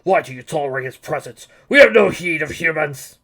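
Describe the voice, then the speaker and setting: A man voicing a proud, indignant alien henchman, servile to his lord and loudly declamatory. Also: a little high, animated, a little fast, voice pushed up. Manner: loud, declamatory.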